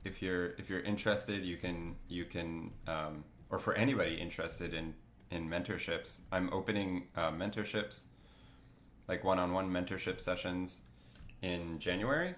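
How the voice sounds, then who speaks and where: Low-voiced sniffly man rambling on stream. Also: normal speed, a bit bright, reverberant, slight background noise. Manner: rambling, many fillers and restarts. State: sniffly from a cold. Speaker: artist streaming a drawing session. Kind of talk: casual livestream chat while drawing.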